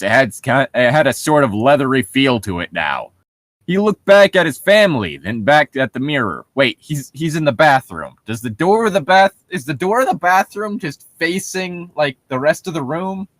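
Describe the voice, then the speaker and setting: A man's voice: a little high, very animated, moderate pace, fairly bright tone, no echo. A man reading a horror story aloud for comedy, breaking off into sarcastic, profane, incredulous commentary.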